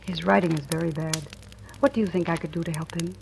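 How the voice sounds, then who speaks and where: A woman's voice: lowish voice, fairly even intonation, fast, creaky, a little mellow, slight background noise. An actress playing a guarded, probing woman telling her suspense story in old-time radio drama style. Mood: gentle, guarded. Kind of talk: 1940s-50s radio drama acting. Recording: old radio recording, music behind.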